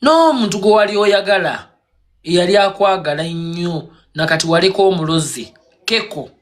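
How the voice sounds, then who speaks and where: A man's voice: high-pitched, normal speed. A man ranting on a live stream in a scolding, mocking, confrontational way. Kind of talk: heated rant on a live stream.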